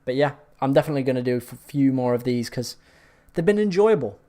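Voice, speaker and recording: A man on a live stream, talking casually and answering viewers as their comments come in. His voice is a touch hoarse after hours on air, and it sits at an ordinary middle pitch for a man. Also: animated, quick pace, warm tone. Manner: chatty, reacting to viewer comments. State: slightly hoarse from long talking.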